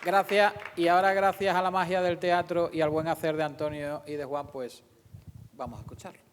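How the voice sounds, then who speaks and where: A man's voice: fairly high pitch, flat delivery, fairly quick, clean tone. A man presenting a live event in an unscripted, informal way. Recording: roomy hall, faint noise and clicks.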